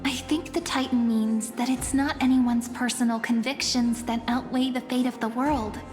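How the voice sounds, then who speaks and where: A gentle, knowing young woman companion from a fantasy game, played by an actress. Her voice sits fairly high and she speaks slowly, with a teasing, cryptic edge. It rings in a long hall reverb over music. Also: natural voice. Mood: earnest. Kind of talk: dramatic game voice acting.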